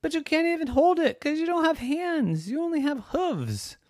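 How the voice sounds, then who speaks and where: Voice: very animated, normal speed, dry recording, clean studio sound. A storyteller, a man, voicing a young girl who is blunt, skeptical and complaining. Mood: scornful. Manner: high, exclaiming, complaining.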